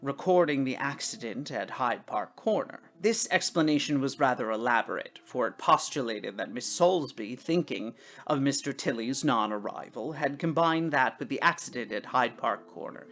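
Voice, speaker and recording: A woman narrating a literary story as an expressive, dramatic storybook reader. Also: rumbling low, normal speed. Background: music.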